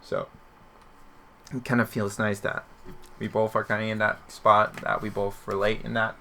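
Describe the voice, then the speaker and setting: A man chats casually as a podcast host over faint background noise. His voice is bright and forward, with a heavy fry running through it. He rambles and questions his own points as he goes. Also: lowish voice, monotone, moderate pace.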